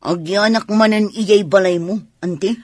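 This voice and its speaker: Husky, hesitant young niece acted by a woman. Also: medium pitch, normal speed, darkish tone, dry recording, studio quality, lightly acted. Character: reluctant, polite young working niece. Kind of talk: radio drama acting.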